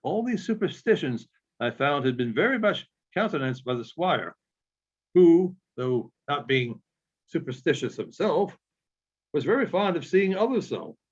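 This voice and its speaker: Guy reading an old story out loud, halting measured phrases, very animated pitch, kinda muffled lo-fi sound. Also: husky. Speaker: audiobook reader. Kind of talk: literary narration read aloud.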